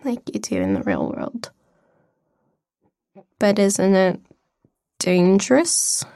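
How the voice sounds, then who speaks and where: A woman's voice: mid-range voice, huge pitch swings, slow pace, warm tone, no echo, clean studio sound, full character voice. An American woman acting a guarded, defiant young girl, wounded but curious, in a naturalistic radio play. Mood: probing, curious.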